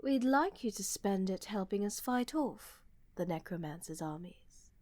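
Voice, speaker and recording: A woman's voice: medium pitch, lively intonation, measured pace, darkish tone, no echo, natural voice. A woman playing a blunt, pragmatic empress with a dry, sardonic, haughty manner in a fantasy audio roleplay. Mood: confident.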